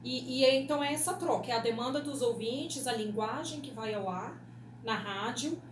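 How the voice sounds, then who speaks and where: A woman's voice: fairly high pitch, moderate pace. A Brazilian woman explaining things in an interview as a radio producer, often checking in with short tag questions. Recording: echoey room with clear background noise.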